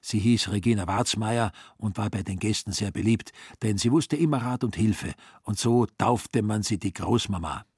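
A man's voice: lowish voice, normal speed, hardly any pauses, a little mellow, slightly rough, no room sound, studio quality. A man reads literary, satirical narration for a radio drama. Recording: music behind the voice. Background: music.

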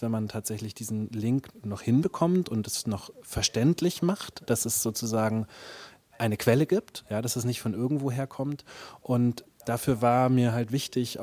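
A man's voice: medium pitch, mellow, no echo. A man being interviewed as a musician and author, talking reflectively and often searching for the right words. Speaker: interview guest, musician and radio play author.

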